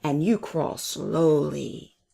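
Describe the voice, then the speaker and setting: A woman's voice: low voice, expressive, slow, gravelly, warm tone, full character voice. A woman voicing a boastful, eager young male hare for a children's storybook. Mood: cocky, amused. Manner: bragging, exclamatory.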